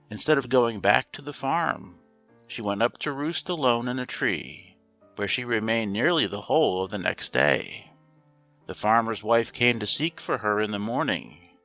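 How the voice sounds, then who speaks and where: A man narrating a scripted fairy tale as a storyteller, reading aloud in a measured, expressive way. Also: fairly low pitch. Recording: roomy echo, faint music behind.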